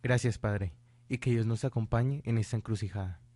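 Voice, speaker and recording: A man acting an earnest, worried doctor in a student radio drama, speaking gravely and deliberately. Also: on the low side, a little mellow, no echo, close to an everyday voice. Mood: grave, urgent. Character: earnest doctor and worried father plotting justice.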